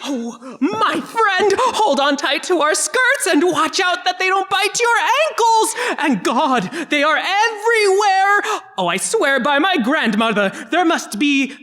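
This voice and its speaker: A woman playing an excitable, melodramatic young lady in a comic stage play, swooning and squealing. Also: very high voice, slow, heavily acted. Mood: giddy panic. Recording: reverberant hall.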